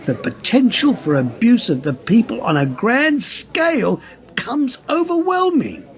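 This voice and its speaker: Actor as an impassioned male whistleblower, animated voice. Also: fairly high pitch. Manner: emphatic, conspiratorial speechifying. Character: impassioned male whistleblower pressing a wary journalist. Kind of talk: radio play acting.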